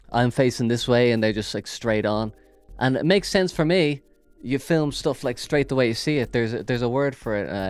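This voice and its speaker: Irish male podcast host, mid-pitched, smooth clear delivery, rambling, jokey chat. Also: no echo. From Ireland. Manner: rambling and jokey, with frequent fillers. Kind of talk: casual solo podcast chat. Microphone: big studio microphone.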